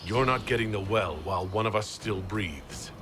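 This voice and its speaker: An actor voicing a resolute, diplomatic military leader in a fantasy video game, firm and direct. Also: male voice, on the low side, normal speed, background noise, close to an everyday voice. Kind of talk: dramatic video game acting. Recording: long hall reverb, music underneath.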